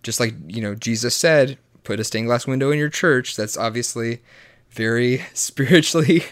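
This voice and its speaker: A man's voice: mid-range voice, normal speed. A man in his late twenties talking in a casual chat, rambling and often restarting his words, with sarcastic asides. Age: 20s. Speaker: guest. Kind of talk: casual conversation.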